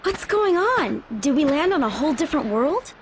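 A woman's voice: sits high, very animated, moderate pace, a little hiss or hum. A woman voicing an earnest, curious young hero in a fantasy video game cutscene. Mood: curious unease. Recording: loud music behind the voice.

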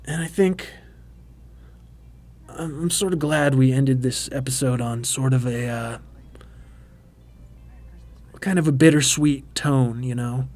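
Mellow, rambling man giving a commentary. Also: medium pitch, takes it slowly, pauses often. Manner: rambling, filler-heavy, with quaintly formal phrasing. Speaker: puppeteer and co-host of a commentary track. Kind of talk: relaxed behind-the-scenes commentary. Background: faint background music.

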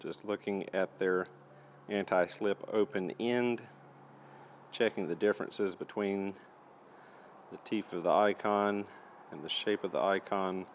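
A man's voice: sits low, measured pace, long pauses, a little hiss or hum. A man giving a casual, matter-of-fact and methodical product review as he presents tools.

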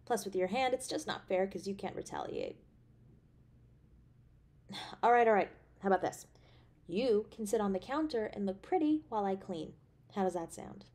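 A woman's voice: medium pitch, moderate pace, long pauses. A woman acting a tender, affectionate girlfriend. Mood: teasing, caring. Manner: tender, expressive, with sighs and soft chuckles. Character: affectionate, anxious, playfully teasing girlfriend on a date. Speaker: voice actor in an audio roleplay. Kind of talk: intimate romantic audio roleplay acting.

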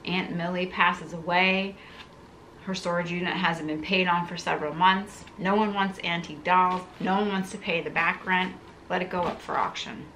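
Casting: female vlogger, thirties, forward bright voice, informal musing delivery, faint room noise behind. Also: lowish voice, fairly even intonation, normal speed, low-quality recording. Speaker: content creator, video host. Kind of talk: casual unboxing vlog, talking to camera. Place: living room, indoors.

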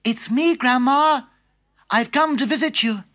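A man's voice: very high-pitched, measured pace, pushed and bright, voice pushed up, exaggerated voice. A man voicing an eager, polite little girl in a storybook reading.

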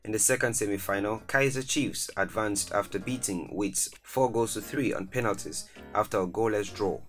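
Low-voiced man reading a countdown script. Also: normal speed, soft background music. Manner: even, formal reading with stressed countdown headings. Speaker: narrator of a countdown video. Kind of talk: scripted voice-over narration.

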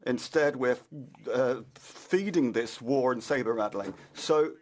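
A man interpreting a combative political speech from an interpreter's booth. His voice is mid-pitched, and he stumbles over fillers before rushing ahead. He keeps a plain, even tone. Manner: halting, with frequent "uh" fillers, then quickening bursts. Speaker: simultaneous interpreter.

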